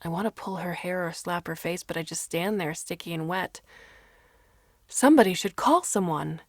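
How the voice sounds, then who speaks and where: A woman reading a first-person short story as narrator, in a dramatic, expressive manner. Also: lowish voice, moderate pace, no echo, clean studio sound.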